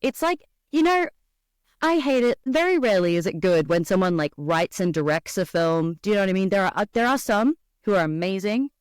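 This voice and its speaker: An Australian woman talks animatedly and expressively. Her voice is smooth and clear, with mid-range pitch, in a dry, close recording. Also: very animated, fairly quick, dry recording. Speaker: voice actor guest. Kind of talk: casual podcast conversation.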